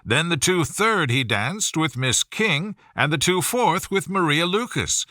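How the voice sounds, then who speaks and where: A man voicing an excitable, gossipy middle-aged wife and mother in a storybook reading, effusive and exclamatory as she rattles on. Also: on the high side, a little slow, no room sound, studio quality, voice pushed up. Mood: gushing, excited.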